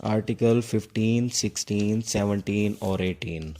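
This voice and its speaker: A man's voice: lowish voice, unhurried, dark tone, a little hiss or hum. A Pakistani man giving an instructive, exam-focused law lecture, repeating key points as he explains and dictates. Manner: instructive, repeats key points, switches between Urdu and English.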